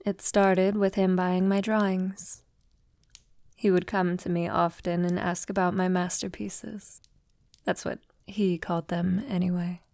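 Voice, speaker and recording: A woman playing a centuries-old vampire lover in an intimate audio roleplay, tender, wistful and confiding, softly spoken with lapses into whispering. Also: on the low side, level tone, no room sound. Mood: fond, wistful. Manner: soft, unhurried, breathy lapses into whispering.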